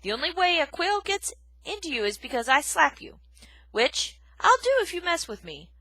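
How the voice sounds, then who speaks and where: A woman voicing a grumpy, loud old male porcupine who blusters and shouts in a storybook reading. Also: very high voice, very animated, moderate pace, dry recording, voice pushed up, exaggerated voice, low-quality recording. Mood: gruff.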